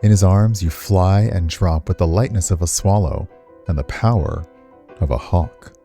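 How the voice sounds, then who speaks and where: A man's voice: low voice, moderate pace, dark tone, faint music behind. A man reading visual novel text aloud expressively as a game streamer, slipping into casual commentary.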